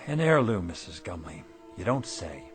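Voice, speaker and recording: An actor playing a weary, kindly, debt-ridden shop owner in a radio drama. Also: male voice, lowish voice, sing-song, a little slow, faint music behind. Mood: weary, blunt.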